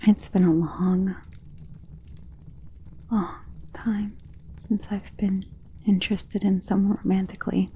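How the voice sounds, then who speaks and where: A woman playing a centuries-old, tender and protective vampire in an intimate ASMR romance roleplay, speaking softly and earnestly, often in a whisper. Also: mid-range voice, flat delivery, takes it slowly, pauses often, heavily acted. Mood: wistful, hesitant. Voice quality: soft, breathy, often dropping to a whisper.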